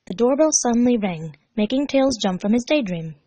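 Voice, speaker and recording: A woman reading a scripted story aloud as narrator, measured and expressive. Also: on the high side, no echo. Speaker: fan fiction narrator.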